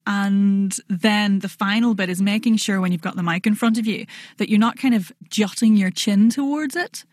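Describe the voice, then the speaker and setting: A woman's voice: mid-range voice, moderate pace, darkish tone, no room sound, clean studio sound. A woman, a voice coach, explaining technique in a practical, lightly self-deprecating way. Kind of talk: casual podcast conversation. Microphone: podcast microphone, seated.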